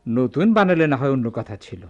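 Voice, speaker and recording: A man's voice: mid-range voice, animated, a little fast, a little mellow, dull audio, close to an everyday voice. A man with a Bangladeshi accent acting in a radio drama as a busy, grudging goldsmith shop owner, hesitant and impatient.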